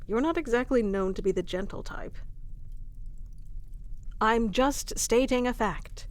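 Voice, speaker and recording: A woman's voice: fairly high pitch, unhurried, natural voice. A woman playing a proud, protective warrior queen in an intimate fantasy audio roleplay, speaking with formal, wry, commanding diction. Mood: teasing, pained.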